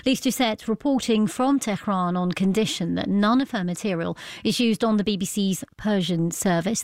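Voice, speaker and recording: A woman presenting a radio news programme in a measured, conversational way. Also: mid-range voice, a little mellow.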